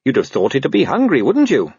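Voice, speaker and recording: A man's voice: medium pitch, huge pitch swings, a little fast, darkish tone, husky, no echo, pitched above the performer's own voice, heavily acted. Man playing an earnest young watchman. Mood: puzzled. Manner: earnest, proper. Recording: dull dry recording.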